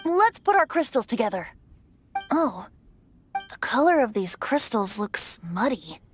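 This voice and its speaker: An actress voicing a gentle, whimsical youthful bard in an anime game, with an expressive delivery. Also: female voice, a little high, measured pace, music in the background. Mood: concerned, gentle.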